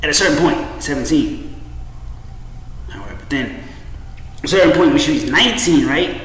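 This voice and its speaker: A man's voice: a little high, big pitch swings, normal speed, a bit bright. A man in his thirties rambling casually to a webcam at home, loose and profane, repeating himself and trailing off. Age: 30s. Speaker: vlogger. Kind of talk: rambling casual monologue to camera. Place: small room indoors at home. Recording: echoey room with faint noise.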